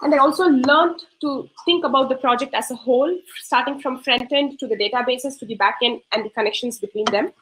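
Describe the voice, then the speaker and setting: Woman, graduate demo presenter, high bright voice, earnest and giggly, video call with faint hiss. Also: fairly quick, hardly any pauses, low-quality recording. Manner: laughs often, warm and earnest. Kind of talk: online presentation talk.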